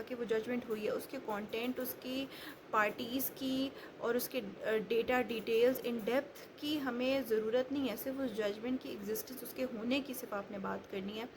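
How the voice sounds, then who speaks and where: Woman, slightly high pitch, instructional lecture style, English terms mixed in, noticeably noisy recording. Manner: code-mixes English legal terms, explains step by step. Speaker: law lecturer. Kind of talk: explanatory lecture with worked examples. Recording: clearly noisy recording with background ambience.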